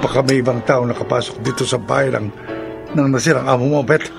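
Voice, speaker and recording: A man playing an uneasy, cautious killer in a horror radio drama, speaking hesitantly and haltingly. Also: medium pitch, fairly even intonation, gravelly, close to an everyday voice. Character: uneasy, cautious male murderer investigating a noise. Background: loud dramatic music.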